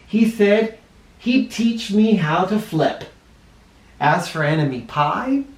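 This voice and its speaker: Animated male storybook reader in an echoey room. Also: sits high. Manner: animated, dramatic emphasis, speaking directly to young listeners. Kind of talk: expressive read-aloud storytelling for children. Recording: roomy, echoing, somewhat distant microphone.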